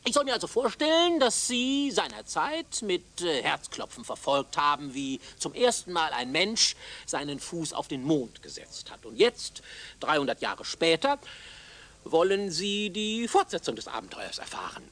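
A man acting a busy, flattered older professor in a radio drama, speaking in a formal, lecturing way. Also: a little high, normal speed. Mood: amused.

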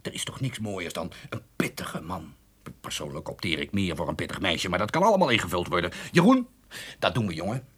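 Pompous husky boss, wildly swooping. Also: male voice, low-pitched, very animated, a little fast, a bit bright, heavily acted. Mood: excited, pompous. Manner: pompous, theatrical, repeats himself. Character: pompous, excitable middle-aged male advertising boss. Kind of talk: radio drama acting.

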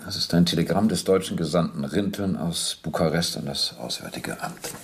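Very deep, creaky-voiced interrogator. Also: male voice, normal speed. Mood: probing. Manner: precise, probing, pointed questions. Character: persistent, controlled police captain interrogating a war criminal. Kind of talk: German radio play, documentary interrogation.

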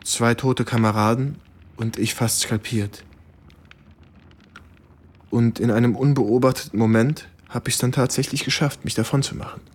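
An actor playing a shaken American soldier who recounts wartime horror gravely and haltingly in a German radio play. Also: male voice, mid-range voice, steady tone, lightly acted. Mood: bitter, weary. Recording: studio radio drama with music underneath.

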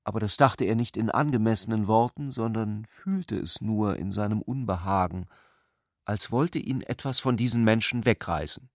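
A man reading literary prose as a scripted narrator, in a measured, deliberate way. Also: fairly low pitch, no echo, clean studio sound.

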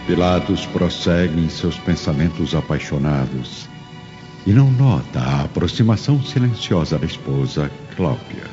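A man's voice: extremely low pitch, lively intonation, moderate pace. A man giving solemn, theatrical scripted narration for a drama. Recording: muffled audio with faint music.

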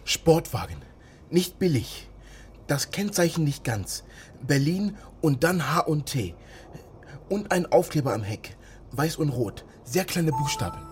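A man's voice: fairly high pitch, very animated, unhurried, darkish tone, a little hiss or hum. An actor playing a hunted, agitated young man in a radio drama, speaking in short clipped phrases. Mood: focused. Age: young adult. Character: hunted young Ghanaian man living illegally, wary and agitated. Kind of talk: naturalistic radio drama acting.

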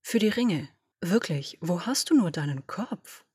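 A woman voicing an imperious, domineering female boss who talks in brisk, commanding, dismissive orders. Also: on the low side, expressive, a little mellow, breathy edge, dry recording, clean studio sound, heavily acted. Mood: cool, commanding. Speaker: podfic reader. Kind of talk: German audio drama reading.

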